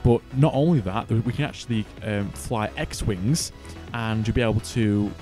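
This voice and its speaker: A man co-hosting a casual gaming news chat, excitable and slangy. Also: fairly low pitch, measured pace, faint music behind. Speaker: co-host of gaming news show.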